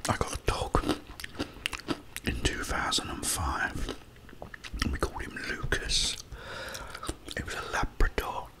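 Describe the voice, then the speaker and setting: A middle-aged man whispering personal stories for ASMR in a hushed, halting, unhurried manner. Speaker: ASMR creator.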